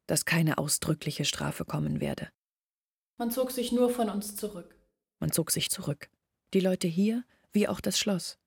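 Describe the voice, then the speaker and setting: An actress playing a sorrowful young village woman in a radio play, speaking in a hushed, deliberate, brooding way. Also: on the low side, gravelly, breathy edge, no room sound, studio quality, heavily acted. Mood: bitter, subdued. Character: sorrowful young village woman recounting her family's disgrace. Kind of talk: radio play monologue, intimate recounting.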